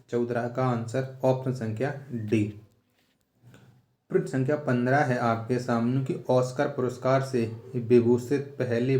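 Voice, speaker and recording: A man's voice: medium pitch, a little mellow. A man teaching exam quiz material, reading out questions, repeating them rhetorically and giving the answers in an instructional way.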